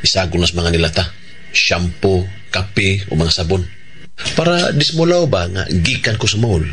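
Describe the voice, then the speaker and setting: A man's voice: low voice, moderate pace, fairly bright tone, soft background music. A man with a Cebuano Filipino accent playing a cocky, teasing criminal in a radio drama, bantering and swaggering. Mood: cocky, defiant. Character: cocky, teasing male criminal, reckless about danger.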